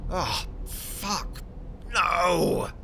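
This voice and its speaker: A hard-bitten operative in an audio drama, with a fairly high male pitch and slow delivery. He is gruff, bitter and commanding, barking curt, profane orders over clear background noise. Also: lively intonation, heavily acted. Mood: bitter, despairing. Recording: loud vehicle and ambience noise behind.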